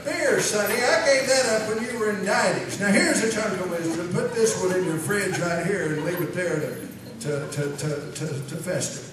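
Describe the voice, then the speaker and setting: A man's voice: high-pitched, moderate pace, natural voice. An actor playing a cranky, folksy old small-town wise man in a live comic radio play, with a blustering delivery. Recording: echoey hall from far off, noisy.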